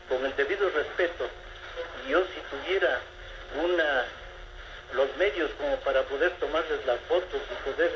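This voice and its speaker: A man in his seventies calling a radio show, talking in a rambling, formal and polite way with many restarts. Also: a little high. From Ecuador. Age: 70s. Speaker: caller. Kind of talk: phone-in complaint to a radio show. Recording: echoey, muffled phone-in with background noise.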